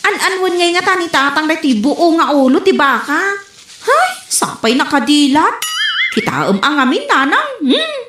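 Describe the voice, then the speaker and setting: A woman playing a nosy, animated younger household member in an Ilocano comedy radio drama, with an Ilocano accent. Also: high-pitched, normal speed, noisy background. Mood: curious complaining. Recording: echoey room, faint music behind.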